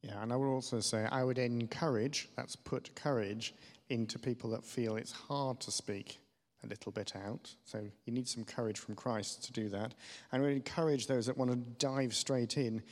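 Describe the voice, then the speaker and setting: Male church panellist, average pitch, offering off-the-cuff pastoral guidance in stop-start phrases, with long room reverb. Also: fairly even intonation, moderate pace. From West Germany. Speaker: church panel speaker answering audience questions. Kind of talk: spontaneous pastoral advice. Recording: reverberant hall.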